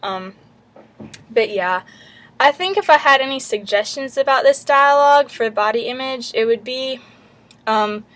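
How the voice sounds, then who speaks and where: A woman's voice: medium pitch, a bit bright. A young American woman, a college student, reflecting casually in a hesitant, informal, rambling way for a vlog. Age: late teens to young adult.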